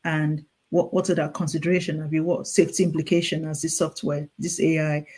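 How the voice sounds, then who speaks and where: A woman's voice: low-pitched, flat delivery, fairly quick, darkish tone, breathy edge. A woman with a Nigerian accent, a clinical nurse specialist speaking as a guest, explaining earnestly and often restarting her sentences. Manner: earnest, urging, many restarts and repetitions. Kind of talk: earnest explanatory interview talk.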